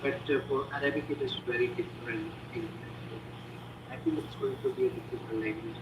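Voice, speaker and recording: A man's voice: mid-range voice, huge pitch swings, a little fast, reverberant, distant mic, heavy background noise. A man whose first language is Hindi, answering practice speaking questions on a video call in loose, filler-heavy sentences. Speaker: IELTS speaking practice candidate. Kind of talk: online practice speaking session.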